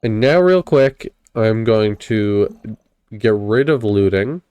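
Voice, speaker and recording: A man's voice: lowish voice, slow pace, darkish tone, smooth, no echo. A young man recovering from a cold, casually narrating a video game test as host of his channel and explaining each step in a chatty, upbeat way. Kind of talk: casual gameplay commentary.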